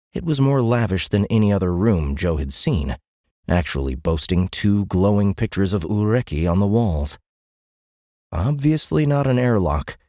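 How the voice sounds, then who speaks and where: Low-voiced man narrating a sci-fi audiobook. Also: darkish tone, no echo, clean studio sound. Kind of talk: scripted dramatic narration of science fiction.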